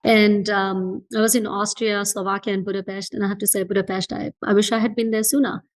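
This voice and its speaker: A woman's voice: medium pitch, little pitch movement, fairly quick. A woman from Fiji speaking as an interview guest in a reflective, conversational way, earnest and emphatic, repeating phrases for emphasis. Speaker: entrepreneur being interviewed as a guest.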